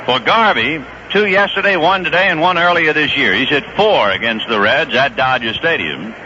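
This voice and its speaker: A man doing play-by-play baseball commentary on a vintage 1970s radio broadcast, brisk and rising on big plays. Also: fairly high pitch, animated, highs cut off, noisy background. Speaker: play-by-play announcer. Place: ballpark broadcast booth.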